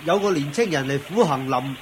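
A man's voice: a little high, animated, brisk, slight background noise, natural voice, poor audio. A man playing an eager, deferential disciple of ascetics in a Cantonese radio drama, plaintive and full of interjections.

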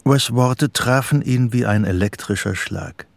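An actor narrating a psychological thriller radio drama in sober, scripted literary prose. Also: male voice, medium pitch, unhurried, creaky, no echo. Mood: measured, tense.

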